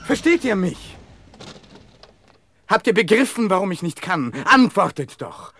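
An actor playing an anguished, pleading man in a radio drama, with a husky voice and impassioned theatrical delivery. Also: high voice, sing-song, unhurried, a bit bright, exaggerated voice. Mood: desperate pleading. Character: Mario, anguished man torn between knowing and hoping.

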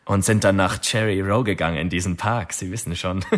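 A man's voice: low-pitched, fairly quick, close to an everyday voice. An actor playing a brash young male check forger under police interrogation, swaggering and flaring up defensively. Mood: breezy. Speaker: murder suspect under interrogation. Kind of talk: radio drama acting.

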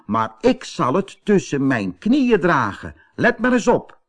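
A man's voice: sits high, wildly swooping pitch, slow pace, voice pushed up, exaggerated voice. A man voicing a plucky, cunning young orphan boy in a fairy-tale audiobook, speaking with polite, cheeky bluff.

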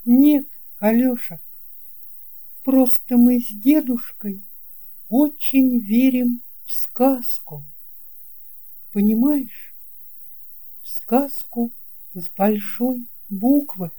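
A woman voicing a kind, confident eight-year-old girl with a gentle, reassuring manner in a children's audio storybook. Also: fairly high pitch, drawn-out words, long pauses, voice pushed up. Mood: earnest, encouraging.

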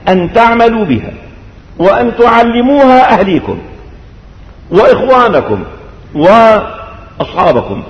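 An older man with a Syrian Arabic accent preaching in a mosque, teaching scripture in a didactic, measured way with deliberate phrasing. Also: sits high. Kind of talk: mosque sermon explaining scripture. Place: large reverberant mosque. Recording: dull echoey hall recording, long reverb.

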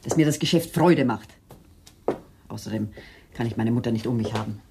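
An actress in a radio play as a bitter, jealous wife who speaks in an accusatory, sharp-tongued way. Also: female voice, rumbling low, fairly quick, vocal fry, heavy background noise. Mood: exasperated.

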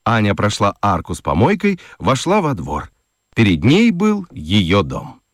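Guy narrating a kids radio story, low voice that swings all over, dry close sound. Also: a little slow, no room sound. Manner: expressive storytelling. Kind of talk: scripted narration for children's radio.

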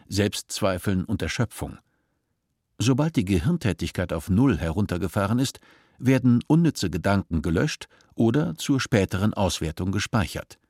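A man narrating a radio drama in an even, measured storytelling voice. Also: on the low side, no room sound, clean studio sound. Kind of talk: scripted narration.

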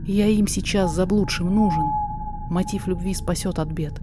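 A woman narrator reading a poetic story aloud in expressive, measured, dramatic verse. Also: medium pitch, over music.

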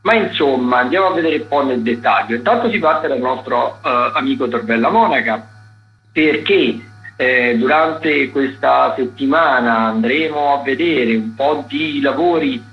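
A man's voice: mid-range voice, unhurried. Male radio host, dull lo-fi sound, music behind, improvised listings, hesitant, self-correcting. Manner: informal, hesitant, self-correcting, wordy with hedging asides. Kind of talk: loosely improvised radio events rundown.

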